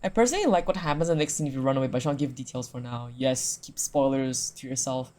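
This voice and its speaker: A streamer talking casually and excitedly through a visual novel, with slangy, sweary commentary. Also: medium pitch, fast talker. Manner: animated, slangy, profane.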